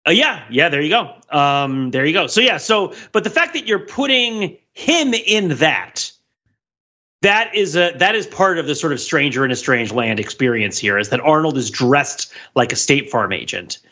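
A man's voice: on the high side, animated, moderate pace, bright, forceful tone, muffled recording. A man talking casually and analytically as a podcast panelist, working through his points in a discursive way. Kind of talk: casual pop culture analysis.

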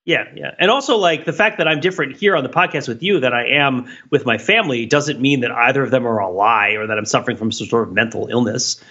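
A middle-aged man with a very bright, forward voice at an ordinary pitch talks through ideas as a podcast co-host. He is discursive and analytical, with a wry streak. The sound is slightly dull and lo-fi. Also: fast, hardly any pauses, husky. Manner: discursive, analytical, wryly humorous. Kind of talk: casual conversational podcast discussion.

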